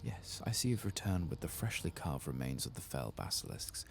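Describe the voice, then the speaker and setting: A man voicing a solemn, commanding fantasy demon hunter, reading game dialogue in a hushed, formal, measured way. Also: a bass voice, sing-song, gravelly, faint music behind, full character voice. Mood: solemn, vengeful. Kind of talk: soft-spoken dramatic reading of game text.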